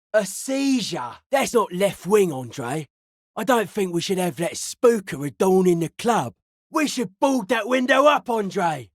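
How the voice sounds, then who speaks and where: Slow-drawling young club kid. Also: male voice, very high-pitched, a bit bright, no echo, studio quality, heavily acted. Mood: laid-back, deadpan. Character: young counterculture club kid, spooked, earnest, dance-music obsessed. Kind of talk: video game voice acting.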